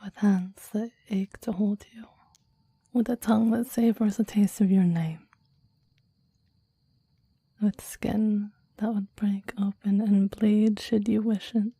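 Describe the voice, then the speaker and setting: A woman voicing a possessive, devoted demon in an intimate ASMR roleplay, speaking in soft breathy whispers with a formal, intense, adoring manner. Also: mid-range voice, little pitch movement, slow, long pauses, dry recording. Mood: yearning, adoring. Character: possessive, devoted clingy demon bound to a summoner.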